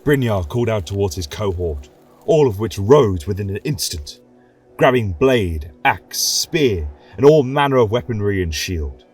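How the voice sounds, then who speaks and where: A man giving measured, dramatic narration of a fantasy story. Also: fairly low pitch, lively intonation, a little mellow, slightly rough. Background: faint music.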